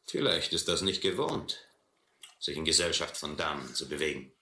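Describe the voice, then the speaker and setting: An actor playing a domineering, mocking sea captain in an audio adventure drama, sardonic and condescending. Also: male voice, very deep voice, animated, normal speed, pushed and bright, exaggerated voice. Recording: roomy old radio-play recording, faint noise.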